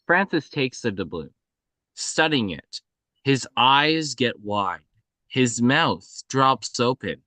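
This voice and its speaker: A man's voice: mid-range voice, sing-song, slow pace, pushed and bright, no room sound. A man reading stage directions as the narrator at a table read of a film script.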